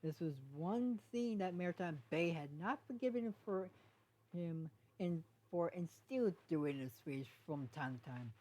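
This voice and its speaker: A woman narrating fan fiction as an amateur story reader, with a halting delivery that stumbles over words. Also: on the low side, lively intonation, mellow, rough recording.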